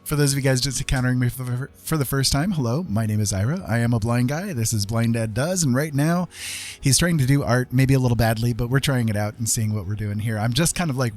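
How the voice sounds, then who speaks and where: A man's voice: mid-range voice, darkish tone. A man hosting a casual livestream, chatting in a friendly, rambling way as he paints. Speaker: live art streamer and host.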